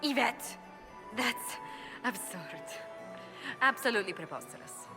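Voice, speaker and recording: An actress playing a prim elder sister of noble birth in a video game, scolding and exasperated. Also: female voice, on the high side, animated, unhurried. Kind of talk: video game dialogue acting. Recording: clear music behind the voice.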